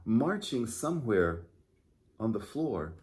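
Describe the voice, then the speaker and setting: Male storytime pastor, lowish pitch, very slow delivery, simple questioning phrasing for small children. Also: sing-song, a little mellow. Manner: simple, unhurried phrasing with questions to young listeners. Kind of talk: gentle storytime talk and picture-book reading for children.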